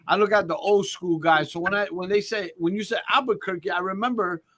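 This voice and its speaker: A man who calls himself a boxing historian, talking excitedly in a group chat, stammering and swearing freely. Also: sits high, fairly quick.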